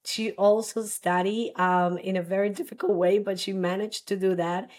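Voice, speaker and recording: Woman from Costa Rica, thirties. Earnest interview guest, halting delivery with frequent restarts. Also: mid-range voice, steady tone, a little mellow. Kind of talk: personal story in an interview.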